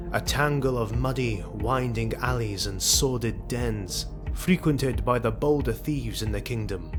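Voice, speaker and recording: A man narrating a scripted fantasy tale in a solemn, deliberate, literary manner. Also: mid-range voice. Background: background music.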